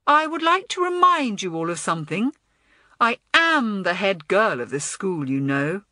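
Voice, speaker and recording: Spoiled young film-star head girl, woman reader: imperious, indignant, animated delivery for a children's audiobook. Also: mid-range voice, a little slow, dry recording, studio quality. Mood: haughty.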